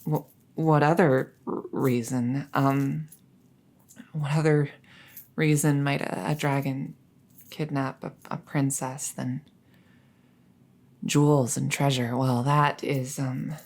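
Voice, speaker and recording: A woman's voice: extremely low pitch, little pitch movement, darkish tone, full character voice. A woman playing a captured crown princess, haughty but easily flustered and coy, in a roleplay audio drama. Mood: shy, bashful. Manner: stammering, hesitant, halting.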